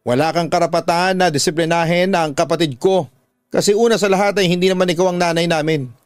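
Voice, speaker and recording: A man's voice: fairly high pitch, fairly even intonation, fast talker, no echo, faint music behind, voice pushed up, lightly acted. A man voicing a fierce, protective young woman in a radio drama, scolding in an indignant, emphatic way. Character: fierce, protective young woman confronting her father's mistress. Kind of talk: Tagalog radio drama acting.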